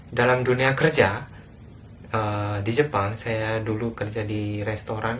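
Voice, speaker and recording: A man's voice: medium pitch, a bit bright. Young adult man from Indonesia; earnest video explainer with hesitations, faint background hiss. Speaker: guest speaker living abroad. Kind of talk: recorded video talk.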